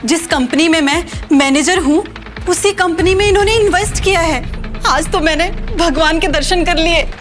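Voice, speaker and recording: A woman's voice: very high voice, normal speed, music in the background. A woman playing a snobbish, taunting young career woman in an audio drama, with a theatrical delivery. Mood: gushing, awed.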